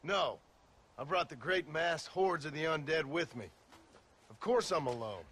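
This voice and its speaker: An actor playing a weary, sardonic gunslinger who speaks bluntly with dry sarcasm. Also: male voice, fairly high pitch, expressive, unhurried, a bit bright, slight background noise. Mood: dry sarcastic. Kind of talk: video game cutscene acting.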